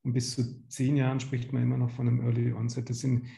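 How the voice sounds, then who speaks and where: A man's voice: mid-range voice, monotone, fast, creaky, dark tone. A man in his fifties from Germany giving an explanatory, somewhat hesitant medical lecture over a video call. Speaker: medical lecturer, pediatric gastroenterologist. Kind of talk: slide lecture to patients. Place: small indoor room, on video call. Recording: roomy home or office echo.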